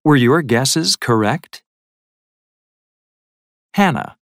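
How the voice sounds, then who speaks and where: Slow, deliberate male lesson narrator. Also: mid-range voice, expressive, fairly bright tone, no room sound, studio quality. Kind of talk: scripted instructions.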